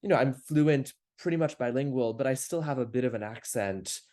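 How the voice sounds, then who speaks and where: A young man with a middle-pitched voice and an American accent, raised in Paris, talks as a guest on a casual podcast. He is articulate and reflective and builds his thoughts into long, flowing answers. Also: a little fast, warm tone. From France, grew up in Paris. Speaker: podcast guest, startup founder. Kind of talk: casual conversational interview.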